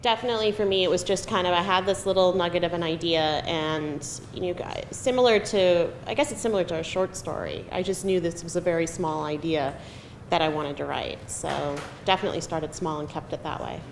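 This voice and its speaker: A middle-aged woman screenwriter casually answering panel questions in a chatty, self-deprecating way. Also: a little fast. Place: live film festival event room. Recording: echoey room, audible noise and rumble.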